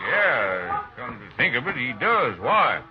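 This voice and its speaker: An American actor playing an easygoing, hospitable rancher in a 1950s radio western. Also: male voice, mid-range voice, sing-song, slow, a crowd in the background. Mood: curious. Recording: muffled old radio recording.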